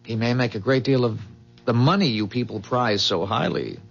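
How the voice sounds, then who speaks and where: An actor playing a polite, calm inventor from the future in a 1970s radio drama, speaking precisely and without fluster. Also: male voice, medium pitch, moderate pace, vocal fry, slightly rough. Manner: courteous, precise, unruffled. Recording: dull 1970s radio recording with music.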